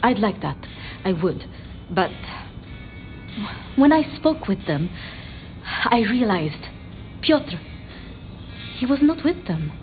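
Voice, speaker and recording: A woman voicing a timid, bookish, deferential vampire scholar in video game dialogue, speaking haltingly and apologetically. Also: medium pitch, big pitch swings, narrow, tinny audio. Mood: halting grief. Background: background music.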